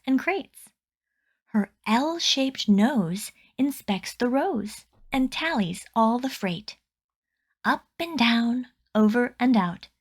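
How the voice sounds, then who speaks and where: Female children's narrator, higher register, very unhurried, expressive and carefully phrased read-aloud of rhyming verse. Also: husky.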